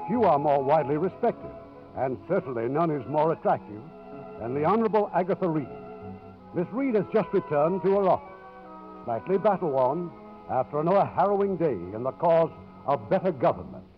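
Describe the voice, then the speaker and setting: A man's voice: fairly high pitch, very animated, normal speed, faint music behind. A man hosting a 1950s radio program, reading a formal, showmanlike scripted introduction. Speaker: producer and host. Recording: muffled old radio broadcast recording.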